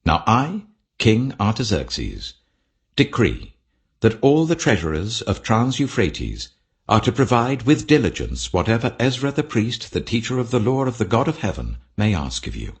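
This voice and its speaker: Man playing ancient king, deep voice, British accent, commanding, stately, sweeping emphatic intonation, audiobook scripture. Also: heavily acted. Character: imperious ancient king proclaiming a written decree. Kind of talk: dramatic audiobook scripture reading.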